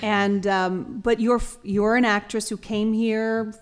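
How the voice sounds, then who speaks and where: A woman's voice: medium pitch, steady tone, takes it slowly, clear, resonant tone. A woman co-hosting a podcast, asking rambling questions and cracking jokes. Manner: playful, joking, rambling questions. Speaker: podcast co-host. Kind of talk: casual podcast interview.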